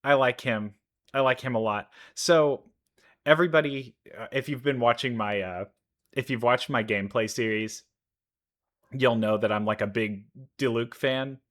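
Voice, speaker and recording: A man giving casual, enthusiastic reaction commentary, halting as he thinks aloud. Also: medium pitch, fairly bright tone. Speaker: reaction video host, gamer.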